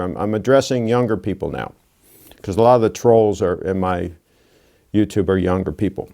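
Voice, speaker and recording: A man's voice: lowish voice, warm tone. A man of sixty hosting his own channel, lecturing bluntly in a casual monologue with folksy asides. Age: 60s. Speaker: watch channel host.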